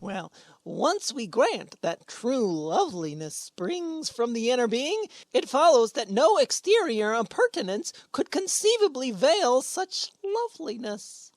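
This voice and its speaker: A woman acts a gawky teenage schoolboy in a radio comedy. His voice is high and swoops about as he earnestly reaches for big words. The sound is dry and close. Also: big pitch swings, unhurried, a little mellow, no room sound, exaggerated voice. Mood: earnest, pompous. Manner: stammering, wordy, earnest. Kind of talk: old-time radio sitcom acting.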